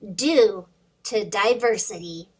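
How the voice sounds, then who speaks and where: A woman's voice: mid-range voice, slow, darkish tone, breathy edge. A woman reading the part of a cheerful young dragon in a children's picture book, proud and exclamatory, drawing out the words. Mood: proud, gleeful.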